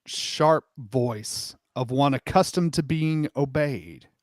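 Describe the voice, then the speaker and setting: Male comic narrator, theatrical and sweary, slow deliberate storytelling, close dry sound. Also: medium pitch, a little mellow, no room sound. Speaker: host and narrator. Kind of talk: comic dramatic storybook reading with asides.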